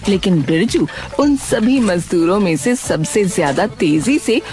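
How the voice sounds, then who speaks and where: A woman narrating a children's cartoon story in a steady, descriptive way. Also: medium pitch, a little slow, soft background music.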